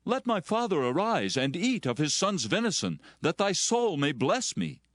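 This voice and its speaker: An actor playing a bitter, pleading firstborn son in a dramatized scripture reading, delivered with passion. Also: male voice, sits high, very animated, moderate pace, dry recording, clean studio sound. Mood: eager. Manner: impassioned, pleading.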